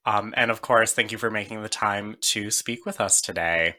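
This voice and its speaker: Podcast host guy, lowish voice but really bright and crisp, playful intro. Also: moderate pace. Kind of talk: scripted introduction, casual chat.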